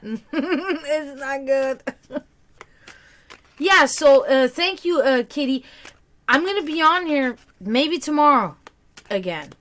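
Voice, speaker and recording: A woman's voice: sits high, bright, forceful tone. A woman in casual livestream chat, giving tarot readings, rambling and laughing often.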